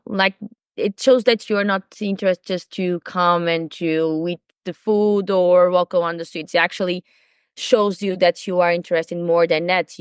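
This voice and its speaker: A woman's voice: lowish voice, smooth, no room sound, studio quality. A woman who grew up speaking Portuguese, a language teacher talking in a casual podcast conversation, thoughtful, with many fillers and restarts.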